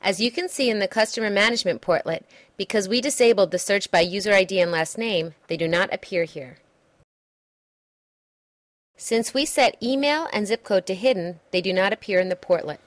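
A woman reading a scripted software demo narration in a measured, instructional way. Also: medium pitch, dry recording.

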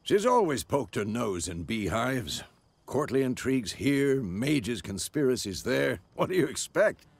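An actor playing a gruff, wry, fatherly older warrior mentor in a video game, with a gravelly voice. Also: medium pitch, sing-song, unhurried, no room sound, full character voice. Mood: wry, reminiscing. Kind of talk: video game dialogue acting.

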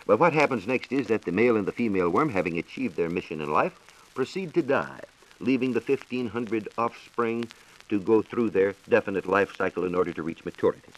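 A man's voice: lowish voice, animated, brisk, rough recording. An American man giving a scripted, formal science talk on 1940s radio, explaining things in a declamatory way. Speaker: science reporter. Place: radio studio. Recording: hissy 1940s radio transcription disc.

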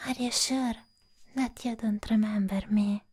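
A young woman performing a hushed, whispered ASMR roleplay as a sweetly possessive living doll, pausing deliberately, close to the microphone. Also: on the high side, fairly even intonation. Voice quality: breathy whisper. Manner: slow, hushed, deliberate, with long pauses.

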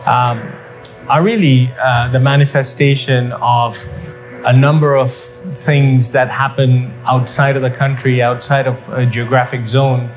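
A middle-aged man from Sri Lanka, an official, speaking in a measured way to camera with frequent ums and uhs. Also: medium pitch, steady tone, soft background music. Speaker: development program official. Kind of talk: to-camera interview.

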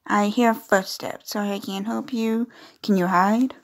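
A woman's voice: medium pitch, fairly even intonation, measured pace, noisy background. A woman doing casual, playful gameplay commentary, reading on-screen dialogue aloud with chatty, amused asides. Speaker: gaming video creator.

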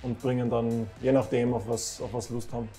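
A man in his thirties with an Austrian accent, a professional footballer, answering calmly and modestly. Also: medium pitch, brisk, music in the background. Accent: Austrian German. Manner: calm, modest, plain-spoken. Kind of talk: relaxed interview answers. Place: football club café with shelves, indoors.

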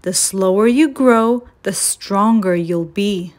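A woman voicing a wise, patient old mother tree in a children's storybook, gentle and reassuring. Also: medium pitch, slow pace, natural voice. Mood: gentle, encouraging.

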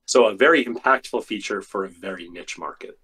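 A man, a software engineer guest, explaining technical details thoughtfully with many fillers, his voice getting tired and worn. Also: on the low side, a little fast, husky, poor audio. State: losing his voice. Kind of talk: technical interview talk.

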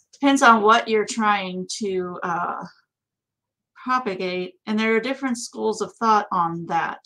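Middle aged american lady, average pitch, chatty rambling co-host answering questions. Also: fairly bright tone, low-quality recording. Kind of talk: casual question-and-answer chat. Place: small indoor office.

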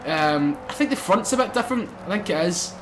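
A young man commentating a racing game let's play, excitable and swearing, bursting into loud exclamations. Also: high voice, brisk, background noise. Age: young adult. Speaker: gaming channel host.

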